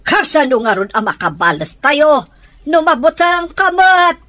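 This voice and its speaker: An actress playing a proud, vengeful mother in an Ilocano Filipino radio drama, with a husky voice. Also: sits high, sing-song, unhurried, pushed and bright, exaggerated voice. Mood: scornful, goading.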